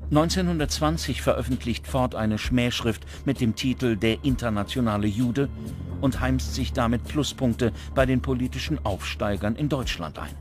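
A man's voice: mid-range voice, moderate pace. A man reading scripted documentary narration as a narrator. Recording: music under the voice. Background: background music.

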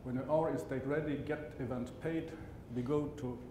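Middle-aged Swedish-accented man, conference speaker, dry and halting, recorded in an echoey hall over a steady hum. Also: mid-range voice. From Sweden. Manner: halting, self-correcting, dry asides. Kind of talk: technical conference talk. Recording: roomy hall sound with background hum.

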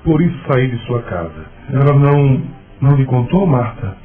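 A tinny, band-limited radio soap with music underneath. An actor plays an earnest, concerned man in love. His delivery is dramatic and very animated. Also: medium pitch, moderate pace, close to an everyday voice. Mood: pleading. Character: Rômulo, lovestruck earnest man, insistent and concerned. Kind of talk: radio soap opera acting. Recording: muffled, narrow phone-like radio drama sound.

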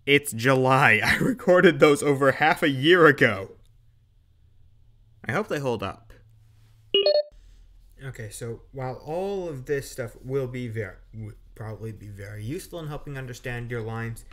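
A man's voice: mid-range voice, expressive, normal speed, fairly bright tone. A man chatting casually behind the scenes as an audio drama director, with self-deprecating asides.

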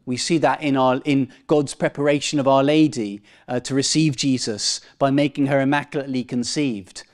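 A man's voice: mid-range voice, steady tone, normal speed. A man in his thirties preaching a sermon as a priest, earnest and emphatic, repeating key phrases, speaking into a lectern microphone in a church. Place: parish church, indoors. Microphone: lectern microphone at chest height.

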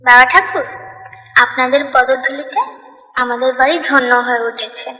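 Humble cartoon village housewife played by a woman, earnest and respectful, bright voice, long reverb. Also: high-pitched, steady tone, moderate pace, faint music behind. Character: humble, devout village housewife welcoming holy men. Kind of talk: devotional cartoon dialogue.

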